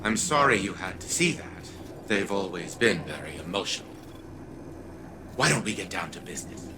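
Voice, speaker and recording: Pompous older scientist patriarch. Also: male voice, deep voice, sing-song, moderate pace, background noise. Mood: smug, businesslike. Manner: pompous, condescending. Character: arrogant, imperious older male scientist patriarch. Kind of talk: video game voice acting. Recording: background music under the voice.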